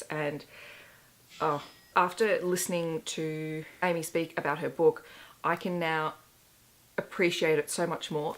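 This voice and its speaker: Hoarse woman with a low voice. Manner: chatty and candid, with self-deprecating asides. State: hoarse, losing her voice. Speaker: book vlogger. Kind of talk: casual book wrap-up vlog.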